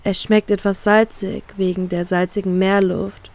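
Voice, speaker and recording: A woman's voice: medium pitch, flat delivery, normal speed, darkish tone. Woman reading a romance story aloud. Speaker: fan fiction reader.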